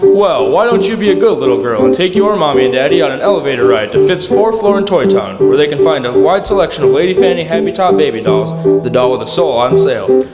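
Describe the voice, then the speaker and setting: A man's voice: fairly high pitch, expressive, quick pace, natural voice. A man playing a jolly department store Santa Claus in an old-time radio commercial, jovial and salesman-like. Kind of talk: 1940s radio commercial acting. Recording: roomy stage, loud guitar music behind.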